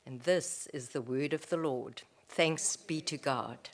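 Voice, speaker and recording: Slow, low-voiced woman reading scripture. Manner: measured, careful reading. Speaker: scripture reader.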